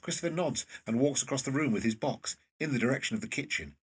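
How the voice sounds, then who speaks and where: A man with a husky voice reading scripted stage directions as narrator of a drama. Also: mid-range voice, fast talker, recorded from a distance.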